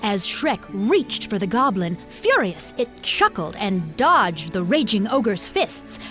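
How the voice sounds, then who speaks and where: A woman's voice: mid-range voice, big pitch swings, takes it slowly, a bit bright, soft background music. A woman narrating a scripted fairy tale in a dramatic storytelling manner.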